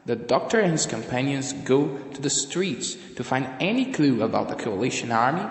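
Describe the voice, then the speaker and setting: A man's voice: mid-range voice, lively intonation, moderate pace. A man narrating a scripted audio drama story. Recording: echoey room with long reverb.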